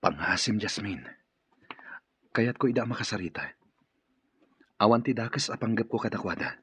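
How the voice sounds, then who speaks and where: A man's voice: fairly low pitch, lively intonation, moderate pace, fairly bright tone, slightly rough, heavily acted. A man acting as an earnest, courteous male boss in an Ilocano radio drama. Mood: earnest, tender.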